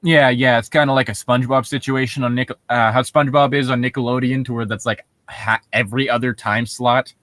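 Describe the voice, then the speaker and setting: A man's voice: mid-range voice, fairly even intonation, fairly quick, hardly any pauses, a bit bright, no echo. Casual young man joking, close dry sound. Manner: profane, giggly, rambling. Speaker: podcast co-host reading and riffing on horror stories. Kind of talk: casual joking chat with bits of reading aloud.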